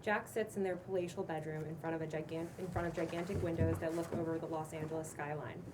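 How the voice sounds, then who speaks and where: Lowish-voiced woman reading stage directions, distant mic. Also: fairly even intonation, fast talker. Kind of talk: reading screenplay directions aloud. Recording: distant mic, noisy room.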